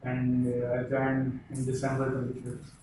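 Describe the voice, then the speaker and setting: Male researcher, Pakistani accent, monotone self-introduction, heavily echoing room. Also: mid-range voice, normal speed. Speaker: visiting researcher. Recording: very echoey room, distant, noisy.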